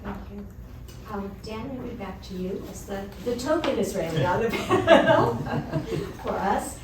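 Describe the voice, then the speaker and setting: A woman's voice: medium pitch, expressive, a little slow. Chatty woman moderator, echoey hall. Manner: friendly, chatty questioning. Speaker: panel moderator. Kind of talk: panel discussion. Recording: very echoey hall, distant mic, background noise.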